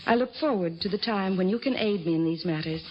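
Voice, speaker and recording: A woman's voice: mid-range voice, fast talker, lightly acted. An actress playing an earnest, resolute young woman doctor of the nineteenth century in an old-time radio drama. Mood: hopeful, resolute. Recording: dull, noisy old radio recording.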